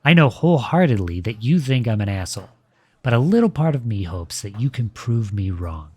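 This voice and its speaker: A man playing a protective boyfriend in a scripted audio roleplay, with measured, formal, pointed phrasing, polite but cutting. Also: fairly low pitch, big pitch swings, mellow, husky, dry recording. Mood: cold, resolute.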